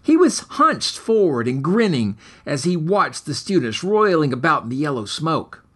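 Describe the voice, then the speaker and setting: A man narrates a comic horror tale with mock-serious formality. His voice is slightly high and husky, and it moves up and down theatrically as he reads. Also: very animated, moderate pace, a bit bright. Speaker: author reading his own short story, podcast host. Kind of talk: scripted dramatic narration of a comic horror story.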